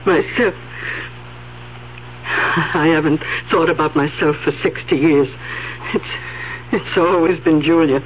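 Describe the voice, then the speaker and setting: A woman's voice: deep voice, slow pace, long pauses, a little hiss or hum. An actress playing an anxious, grieving elderly aunt in a 1970s radio drama, hesitant and stammering, often repeating her words. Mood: bitter, worried. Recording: tinny 1970s radio broadcast, music behind.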